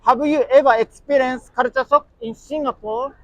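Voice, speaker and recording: High-voiced Japanese man asking questions. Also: steady tone, moderate pace, highs cut off. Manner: short polite questions, frequent thank-yous and soft laughs. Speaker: street interviewer and channel host. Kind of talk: casual street interview.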